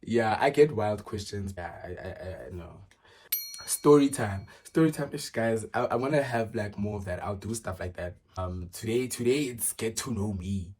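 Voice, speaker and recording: Chatty young man, low voice. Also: normal speed. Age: early 20s. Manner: chatty, animated, many fillers and restarts. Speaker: vlogger. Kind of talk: casual get-to-know-me Q and A.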